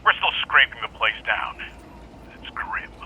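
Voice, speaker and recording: An actor playing a male police detective who talks on the phone in a flat, guarded, matter-of-fact way. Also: medium pitch, unhurried, slight background noise, poor audio. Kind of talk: audio drama acting.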